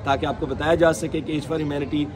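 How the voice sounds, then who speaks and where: A man's voice: a little high, fairly even intonation, moderate pace. A man in his thirties from Pakistan addressing viewers outdoors as a charity vlogger, earnest and devout, full of prayers and blessings while gesturing with open hands. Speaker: charity vlogger handing out groceries. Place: open courtyard outdoors among seated families. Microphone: camera a few feet away, waist-up framing. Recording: outdoor crowd murmur behind. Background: crowd of people.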